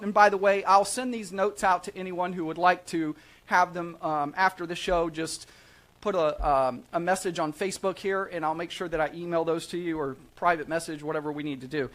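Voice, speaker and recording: A man presents a live studio talk show as its host. He speaks in an upbeat, sales-pitch manner, mixing casual banter with explanation and punctuating his points with short check-in questions. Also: a bit bright. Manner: upbeat salesman-like, repeated "right?" tags. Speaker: host of a real estate show, realtor. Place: small studio set at a desk.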